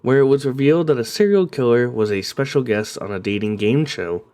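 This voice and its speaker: A man hosting and narrating a true crime story in a somber, steady way, his voice slightly raspy from a cold. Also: mid-range voice, brisk. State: coming down with a cold. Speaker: host.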